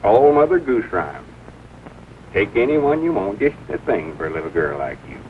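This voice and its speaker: An actor playing a kindly older small-town storekeeper with a rural Southern drawl, in 1940s film style. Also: male voice, on the low side, big pitch swings, normal speed, slight background noise. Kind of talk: 1940s film comedy-drama acting. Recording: tinny, distant 1940s film soundtrack, music behind.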